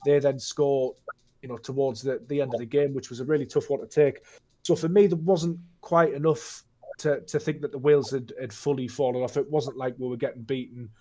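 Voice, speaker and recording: Man, mid-pitched voice, thoughtful football talk, restarts sentences. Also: steady tone, fairly quick, dark tone. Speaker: guest pundit. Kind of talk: casual football analysis.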